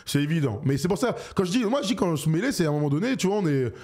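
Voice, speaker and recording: A man hosting a basketball call-in show, talking quickly and getting worked up in jokey banter. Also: on the high side. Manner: excitable, rapid, jokey. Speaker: radio show host.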